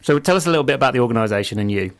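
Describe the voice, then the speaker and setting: A man with a Northern English accent hosting a radio interview, chatty and enthusiastic, with halting restarts. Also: medium pitch, expressive. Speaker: radio host. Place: radio studio.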